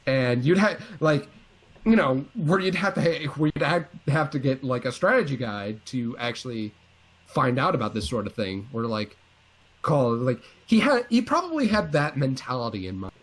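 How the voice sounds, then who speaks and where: Guy cohost talking games casually, normal mid pitch, opinionated n a bit jokey. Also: very animated, normal speed. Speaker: co-host of a video game series. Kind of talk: casual chat about video games.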